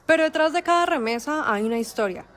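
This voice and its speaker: A woman narrating a documentary script in a measured, dramatic storytelling cadence. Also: sits high, animated, noisy background.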